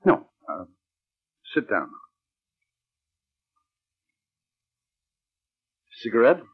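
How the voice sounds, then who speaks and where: An actor playing a scheming, menacing husband in a 1940s radio drama, speaking in an insinuating, emphatic way. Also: male voice, medium pitch, big pitch swings, normal speed, slightly rough. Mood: smoothly menacing. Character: scheming, menacing husband and would-be playwright, smooth then impatient. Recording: muffled, band-limited old radio recording.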